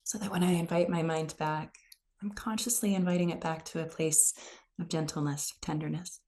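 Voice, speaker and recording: A woman leading a guided meditation in a gentle, unhurried, soothing manner. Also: low voice, fairly even intonation. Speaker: meditation teacher.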